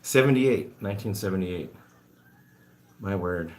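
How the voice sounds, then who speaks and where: A man's voice: deep voice, lively intonation, measured pace, a little mellow, a little hiss or hum. A man in his forties chatting casually to live-stream viewers at home, rambling and self-amused while he does chores around his guinea pigs. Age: 40s. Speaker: animal rescuer streaming to viewers.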